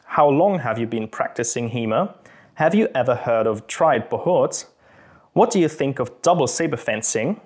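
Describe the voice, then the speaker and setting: A man's voice: medium pitch, expressive, normal speed, slightly rough. Austrian man with a British-tinged accent. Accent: Austrian German mixed with British English. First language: Austrian German. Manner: friendly, thoughtful, a little hesitant with frequent ums. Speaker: channel host and fencing instructor. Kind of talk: casual Q&A to camera.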